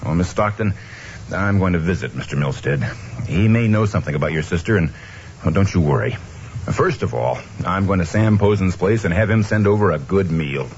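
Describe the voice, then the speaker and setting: A man's voice: low voice, expressive, fairly quick. A man playing a kindly, determined young newspaperman in an old-time radio drama, acting and narrating in a matter-of-fact, clipped way. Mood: reassuring. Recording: dull, noisy old radio recording.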